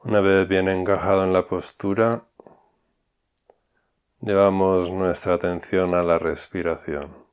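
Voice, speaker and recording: A man leading a guided meditation, giving calm, unhurried instructions with long pauses. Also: sits low, clear, resonant tone.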